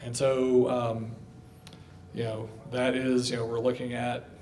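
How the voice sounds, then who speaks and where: Presenter at a public workshop, male, filler-heavy and halting delivery. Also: medium pitch, completely flat delivery, a little mellow, a little hiss or hum. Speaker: utility commission presenter. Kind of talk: public meeting Q&A answer. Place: public meeting hall.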